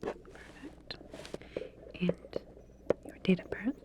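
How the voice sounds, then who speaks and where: A woman whispering gently in an ASMR roleplay as a medical researcher, breathy and unhurried, pausing as she explains procedures.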